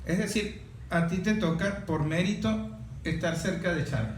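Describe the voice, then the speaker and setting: A man with a slightly high voice, interviewing in a probing, conversational way. The recording is very echoey, with faint noise behind him. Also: moderate pace. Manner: probing, stacks questions. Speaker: radio interviewer.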